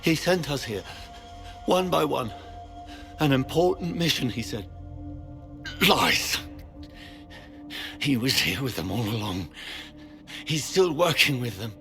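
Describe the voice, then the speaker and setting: An actor playing a dying, betrayed knight in a video game, weakened and strained with pain. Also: male voice, mid-range voice, sing-song, slow pace, pauses often, fairly bright tone, exaggerated voice. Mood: bitter. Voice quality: gravelly, strained. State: in agony, weakened. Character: dying male knight, tormented, bitter, betrayed. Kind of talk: dramatic video game acting. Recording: music behind the voice.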